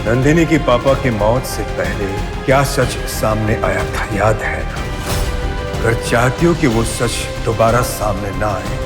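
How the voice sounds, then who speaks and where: Guy playing a rich stubborn grandpa in an audio drama, bossy and deliberate, music behind. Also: mid-range voice, close to an everyday voice. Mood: threatening. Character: wealthy, stubborn old grandfather and business tycoon. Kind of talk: Hindi audio drama acting.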